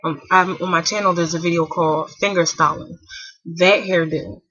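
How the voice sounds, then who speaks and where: A young woman in her twenties talks to camera from home in a bright, slightly husky voice. She rambles loosely, full of fillers and restarts, and keeps things quiet so a sleeping child won't wake. The dry, dull sound of old webcam audio frames it all. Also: on the low side, steady tone, moderate pace, no echo. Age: 20s. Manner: speaking softly to keep a napping child asleep. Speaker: hair vlogger. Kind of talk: casual chat to camera.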